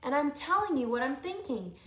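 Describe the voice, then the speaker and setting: A woman's voice: high-pitched, very animated, fairly quick, fairly bright tone. A woman teacher reading a storybook aloud to children, with thoughtful questions and asides. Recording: echoey room with faint noise.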